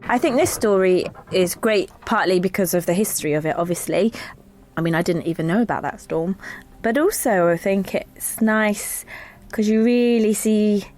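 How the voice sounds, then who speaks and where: A woman's voice: medium pitch, moderate pace, darkish tone, faint music behind. A woman, an actress talking casually in a behind-the-scenes interview.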